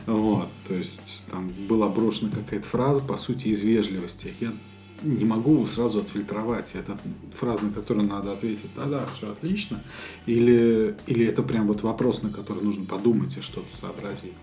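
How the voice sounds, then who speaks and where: A man from Russia co-hosting a podcast, talking in a thoughtful, halting way with many fillers. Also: fairly low pitch, mellow, faint music behind. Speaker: podcast co-host. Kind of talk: casual conversation.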